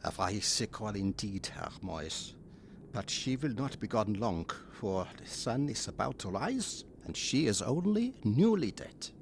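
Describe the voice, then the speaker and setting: Man as a gravelly German vampire-hunting professor. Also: fairly low pitch, very animated, slight background noise, exaggerated voice. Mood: grave, calm. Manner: formal, deliberate, commanding. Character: authoritative, grave foreign professor and vampire hunter. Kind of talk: theatrical gothic horror audio drama.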